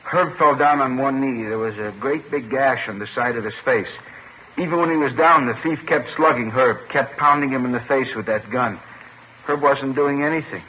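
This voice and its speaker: A man's voice: medium pitch, moderate pace, bright, forceful tone, natural voice. An American actor playing a talkative, worked-up store owner in a 1950s radio drama, eagerly and emphatically retelling what he saw. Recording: dull old radio recording, faint noise.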